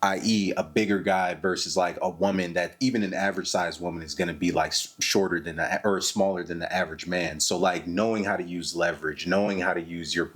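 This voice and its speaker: A man's voice: deep voice, fairly even intonation, brisk, hardly any pauses, a bit bright, husky. A man hosting a casual podcast, easygoing and loose, saying "bro" and "like" a lot. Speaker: podcast host. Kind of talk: casual chat.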